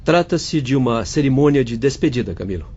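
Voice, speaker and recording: A man's voice: medium pitch, expressive, a little fast, a little mellow, slightly rough. A man playing an earnest, polite doctor guiding spirits in an audio drama. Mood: courteous. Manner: polite, explanatory. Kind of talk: dramatised audio-book dialogue. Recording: dull lo-fi audio, faint music. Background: faint background music.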